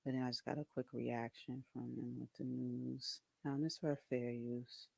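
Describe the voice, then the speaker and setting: A soft-voiced man talking casually to camera, hesitant, with many ums and restarts. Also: medium pitch, level tone, normal speed, vocal fry, slightly rough, dry recording. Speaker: video creator.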